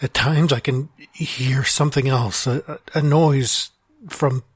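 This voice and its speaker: An actor playing a lonely man trapped inside his own mind, speaking in a halting, stammering way with frequent sighs. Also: mid-range voice, fairly even intonation, slightly rough, no room sound. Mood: despairing. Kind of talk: audio drama monologue.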